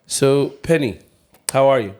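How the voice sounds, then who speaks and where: A man's voice: mid-range voice, animated, a little slow, a little mellow, clean tone. Guy cohost, teasing and joking with the guest, easygoing. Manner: teasing, laughing asides. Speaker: podcast co-host. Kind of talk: casual chat.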